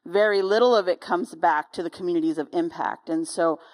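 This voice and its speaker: Woman panelist, lowish voice. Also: fairly quick. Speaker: small-town community representative on a panel. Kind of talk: panel discussion, conversational explaining.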